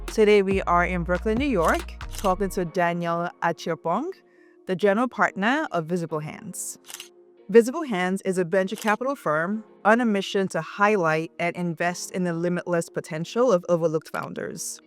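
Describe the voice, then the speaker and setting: A woman's voice: lowish voice, moderate pace, faint music behind. A woman hosting an interview, giving introductions and asking questions. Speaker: interview host.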